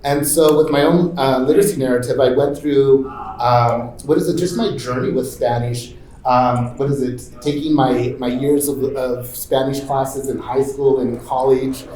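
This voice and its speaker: A man moderating a panel and then sharing his own story in loose, halting talk full of fillers and restarts. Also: mid-range voice, fairly even intonation, warm tone, reverberant, rough recording. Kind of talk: casual conference talk. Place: conference room.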